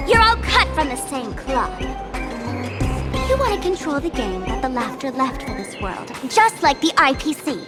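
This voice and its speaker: A woman voicing a theatrical idol villainess in an anime-style game, sugary to her fans and scornful to foes, with mock-sweet, drawn-out taunts. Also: sits high.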